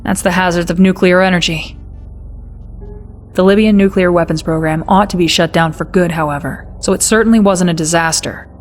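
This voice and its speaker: Woman acting as earnest military intelligence officer, mid-low female voice. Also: fairly even intonation, a little fast, faint music behind, close to an everyday voice. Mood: brisk, confident. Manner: formal, addresses listener as sir. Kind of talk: video game cutscene briefing.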